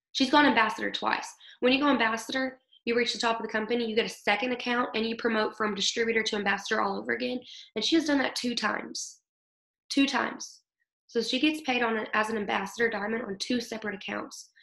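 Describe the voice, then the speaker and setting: A young woman with a hoarse voice from illness, leading her team in an upbeat motivational talk over a video call, often checking in with "okay?". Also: fairly high pitch, fairly bright tone. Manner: upbeat, coaching, keeps asking "okay?". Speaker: team leader. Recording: echoey room.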